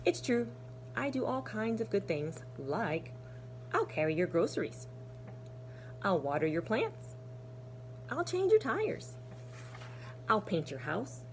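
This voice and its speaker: A woman voicing an earnest, proud, helpful male egg from a children's storybook, speaking deliberately and emphatically. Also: medium pitch, very animated, a little hiss or hum, low-quality recording. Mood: cheerful, proud.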